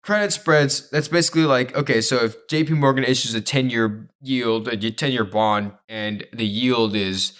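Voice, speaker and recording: A man's voice: medium pitch, normal speed, hardly any pauses, a bit bright. A man co-hosting a podcast, explaining in casual chat with an informal, stop-start delivery that often repeats itself. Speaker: podcast co-host.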